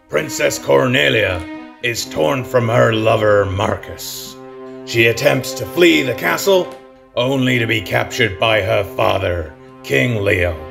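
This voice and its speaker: A big gravelly pig showman, slow pompous stage delivery, music playing behind. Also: mid-range voice, exaggerated voice. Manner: grandiose, slow stage declamation. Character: pig-snouted theatre troupe leader, a grand, theatrical showman. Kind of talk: theatrical audiobook acting.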